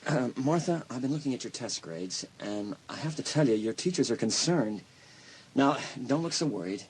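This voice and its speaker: An actor playing a concerned, reassuring school counselor in an audio drama, speaking earnestly and soothingly with some hesitation. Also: male voice, mid-range voice, expressive, normal speed, husky, poor audio. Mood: concerned, probing.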